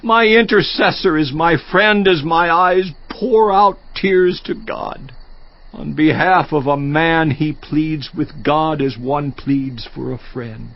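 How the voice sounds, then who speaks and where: An actor playing a grief-stricken, worn-out righteous man lamenting to God in a dramatized scripture audiobook, with anguished, drawn-out delivery. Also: on the high side. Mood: pleading. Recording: dull lo-fi audio, echoing room.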